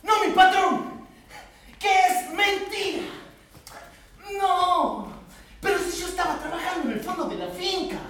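A man's voice: extremely high pitch, pushed and bright, slight background noise, full character voice. A man playing a humble, pleading farmhand and father in a theatrical monologue, with a Latin American Spanish accent. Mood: desperate pleading. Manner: emotive, drawn-out delivery with repeated pleas. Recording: very echoey room, distant microphone.

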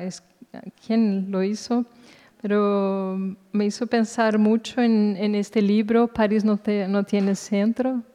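A woman, a native Portuguese speaker, talking unscripted as a guest author, haltingly searching for words. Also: medium pitch, warm tone, clear, resonant tone. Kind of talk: unscripted talk at a literary event. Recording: echoey hall reverb.

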